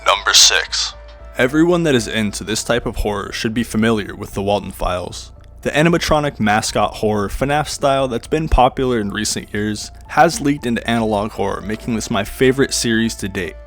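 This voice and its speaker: A man's voice: lowish voice, animated, brisk, a bit bright. A man giving a scripted countdown narration as the host of a horror video. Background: music.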